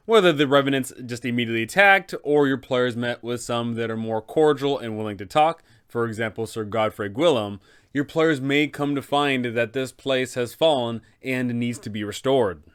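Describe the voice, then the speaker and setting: A man's voice: mid-range voice, moderate pace, fairly bright tone, clean tone. A man hosting a game master guide, talking in an enthusiastic, casual way. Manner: enthusiastic, upbeat. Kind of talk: casual tutorial narration.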